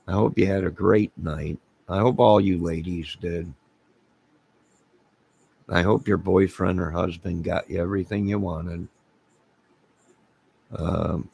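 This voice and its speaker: A middle-aged man with a very low, deeply gravelly voice, worn hoarse from singing. He drawls slowly and rambles loosely through a late-night livestream, greeting his viewers one at a time. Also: a little mellow. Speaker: livestream host. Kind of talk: late-night casual live chat.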